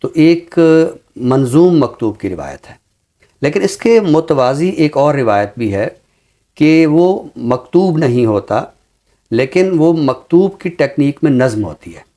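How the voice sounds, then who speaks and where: A man's voice: on the high side, big pitch swings, a little slow, mellow. Pakistani man explaining literature in scholarly fashion. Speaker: literary scholar presenting books on a talk show.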